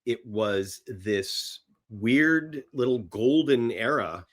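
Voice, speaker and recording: A man's voice: medium pitch, lively intonation, extremely slow. A middle-aged man talking casually about films as a podcast guest, enthusiastic and rambling, often repeating his phrases. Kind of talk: casual film chat.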